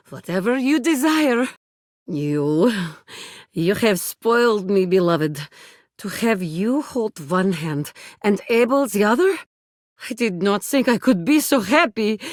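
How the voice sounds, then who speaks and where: A woman's voice: fairly high pitch, dry recording, clean studio sound. A woman playing a doting, sultry Russian sweetheart in a video game, speaking tender, formal broken English with a Russian accent. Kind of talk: video game character voice acting.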